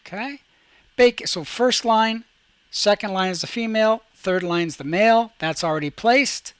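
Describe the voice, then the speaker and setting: Higher-voiced man teaching a software tutorial. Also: moderate pace, smooth, dry recording. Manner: instructional, talks through each step aloud. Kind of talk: screen-recorded software tutorial, step-by-step instruction.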